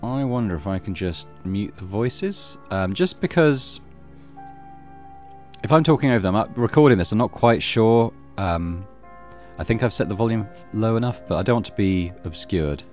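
A man's voice: on the low side, animated, normal speed, darkish tone, faint music behind. A man hosting a let's play, reading story narration aloud with casual commentary.

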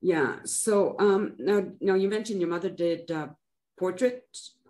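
A woman's voice: mid-range voice, measured pace, warm tone. An older woman from Montreal hosting an interview over a video call, asking easygoing, conversational questions. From Montreal, Canada. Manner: friendly, conversational questions with brief affirmations. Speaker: interview host. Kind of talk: casual interview.

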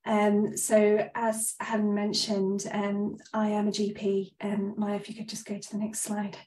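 Woman GP, 30s, congested, earnest webinar speaker; flat delivery, roomy distant sound. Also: medium pitch, measured pace, slight background noise. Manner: earnest, frequent ums. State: stuffed up, slightly breathless. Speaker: GP giving a presentation. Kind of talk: webinar talk. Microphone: video call.

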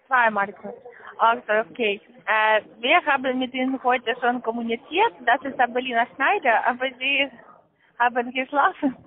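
A woman with a foreign accent giving a sales pitch over the phone, in halting, careful non-native phrasing. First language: not German. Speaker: call centre account manager. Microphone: telephone. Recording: muffled narrow-band phone line.

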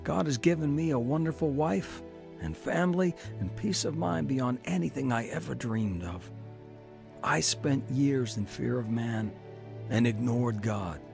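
An earnest man telling his own conversion story in radio-drama style, turning preacherly at the end. His mid-pitched voice has a gravelly edge, with soft music underneath. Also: unhurried. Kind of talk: dramatized testimony narration.

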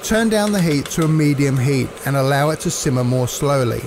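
Gravelly man presenting a recipe. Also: mid-range voice, expressive, a little fast, noisy background. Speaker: cooking presenter. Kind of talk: instructional recipe narration.

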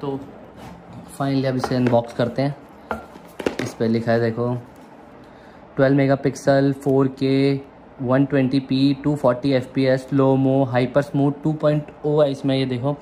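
A young man from India vlogging casually at home, chatting excitedly as he unboxes a camera and explains each step. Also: medium pitch, measured pace, slight background noise. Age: young adult. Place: room at home, indoors.

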